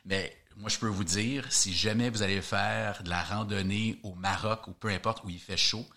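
A man with a Québécois French accent, chatting as an interview guest and telling a story, animated, often repeating words as he searches for them. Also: lowish voice, moderate pace, vocal fry. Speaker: guest hiker.

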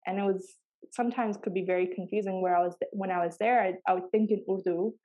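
A woman's voice: medium pitch, steady tone, fast talker, highs cut off. A woman whose first languages are Pashto and Farsi, talking earnestly in a reflective podcast conversation and often restarting her sentences. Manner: earnest, searching, many restarts. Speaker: podcast co-host.

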